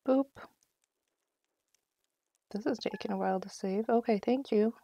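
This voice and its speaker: Woman, game streamer. Soft-edged tone with vocal fry, playful, off-the-cuff commentary to herself and viewers. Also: medium pitch, a little slow. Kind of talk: casual live gameplay commentary.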